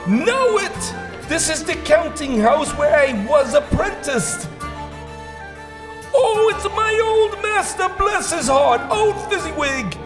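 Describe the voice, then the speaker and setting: An anxious, remorseful old miser in a theatrical radio play. He speaks slowly in a high voice that swoops widely, stammering and exclaiming, with music clearly behind him. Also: expressive, full character voice. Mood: excited, nostalgic. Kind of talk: theatrical radio drama acting.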